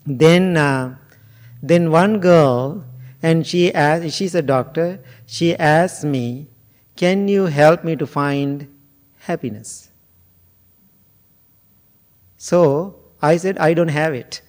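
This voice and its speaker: Monk from sri lanka, talks slow and easy, kinda high voice, jokes with the crowd. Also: male voice, a little mellow. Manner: slow, musing, jokes and asks the audience questions. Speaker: Buddhist monk teacher. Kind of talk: informal dharma talk to a group.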